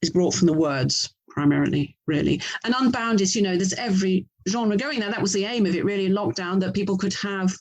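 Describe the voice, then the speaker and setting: A woman's voice: mid-range voice, fairly quick, hardly any pauses, darkish tone, low-quality recording. A woman from England speaking as an interview guest, thinking aloud and often correcting herself as she explains. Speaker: interview guest, author and audio drama producer. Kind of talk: conversational interview.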